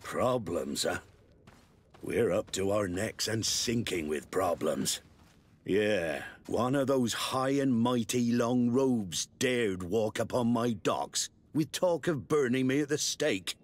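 An actor playing a blunt, harried naval commander in a video game, gruff and plain-spoken with a wry edge. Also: male voice, medium pitch, animated, takes it slowly. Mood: exasperated. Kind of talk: video game dialogue acting.

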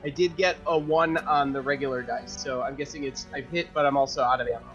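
A man's voice: medium pitch, steady tone, fast talker, fairly bright tone, lo-fi sound. A man playing a tabletop game, chatting casually and hesitantly as he thinks aloud. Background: music.

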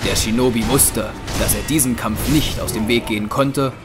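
A man's voice: medium pitch, steady tone, normal speed. Male audio drama narrator over music.

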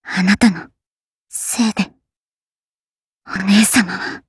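A woman's voice: mid-range voice, steady tone, takes it slowly, husky, no echo, clean studio sound. A woman playing an earnest, reserved young valkyrie in an anime game, speaking hesitantly. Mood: troubled. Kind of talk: anime mobile game voice acting.

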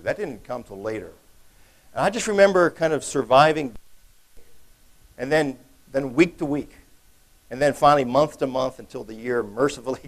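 A middle-aged man delivers a conference keynote in a loose, conversational way, joking with the audience and often restarting his sentences. He is recorded in a large conference hall, standing away from the podium microphone. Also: mid-range voice. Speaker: keynote speaker.

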